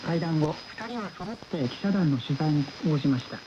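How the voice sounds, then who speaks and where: A man's voice: a little high, animated, very fast. A man presenting scripted news on the radio, received over shortwave. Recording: muffled, noisy shortwave radio.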